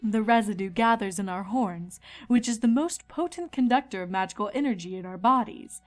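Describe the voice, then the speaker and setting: Lady doing a calm royal pony princess, gentle teacher type, measured, cartoon storybook reading. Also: medium pitch, close to an everyday voice. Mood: patient, teaching. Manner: placid, delicate, measured, teacherly. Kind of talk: cartoon-style fan fiction dramatic reading.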